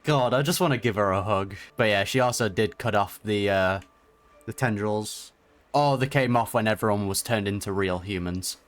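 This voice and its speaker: A man's voice: lowish voice, moderate pace, soft background music. A man giving casual, off-the-cuff reactions to a video game in an informal, slangy, chatty way. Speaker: gaming reaction streamer.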